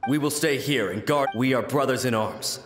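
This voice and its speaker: A man's voice: medium pitch, moderate pace, loud music behind the voice, lightly acted. A man playing a brave, loyal samurai soldier in a video game, dutiful and declarative, with a roomy echo. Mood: resolute.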